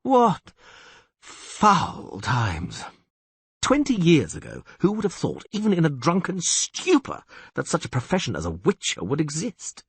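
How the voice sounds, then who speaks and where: A man's voice: huge pitch swings, a little slow, vocal fry, husky, dry recording, heavily acted. Man doing a blustering pompous castellan. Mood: grumbling. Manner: loud, theatrical, swoops high. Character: blustering middle-aged male town castellan, pompous.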